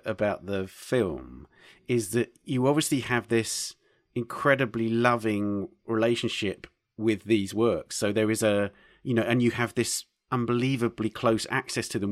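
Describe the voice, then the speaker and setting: A British man hosting an arts podcast, speaking in an articulate, measured presenter's manner. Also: mid-range voice, dry recording, clean studio sound. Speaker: podcast host. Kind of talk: arts podcast interviewing and scripted news narration.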